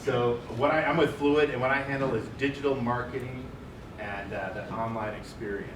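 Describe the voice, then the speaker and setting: A man with a loud voice giving a chatty panel talk and putting questions to the audience. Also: medium pitch, steady tone, moderate pace. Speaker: panel speaker. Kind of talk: conference presentation. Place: large office meeting room. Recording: echoey room, distant mic, noisy.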